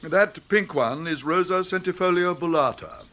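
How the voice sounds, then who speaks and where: An actor playing an unruffled gentleman's valet in a radio comedy, formal, measured and deferential, with an English accent. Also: sits high. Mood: polite, composed. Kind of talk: radio comedy drama acting.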